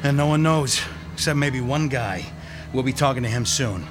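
An actor playing a tough police detective giving orders in a radio drama. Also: male voice, medium pitch, moderate pace, over music, natural voice. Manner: urgent commanding.

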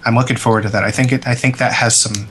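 A man's voice: mid-range voice, steady tone, fast, a bit bright, slight background noise. A man co-hosting a podcast in casual, joking conversation. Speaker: podcast co-host.

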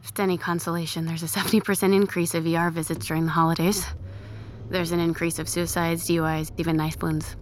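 A woman's voice: fairly low pitch, little pitch movement, fast talker, slight background noise, natural voice. A woman playing a blunt, sardonic, weary emergency-room nurse with a morbid streak in an audio drama. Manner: blunt, matter-of-fact, sardonic. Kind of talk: audio fiction acting.

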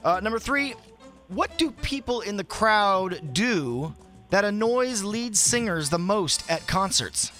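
A male radio host with a high, bouncy voice reads quiz questions with lots of energy. Music plays faintly behind him. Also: slow. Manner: energetic, game-show quizzing. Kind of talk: upbeat radio banter.

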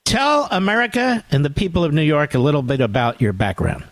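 A man's voice: fairly high pitch, expressive, fairly quick, no echo. A man in his sixties hosting a talk radio show, speaking emphatically and combatively.

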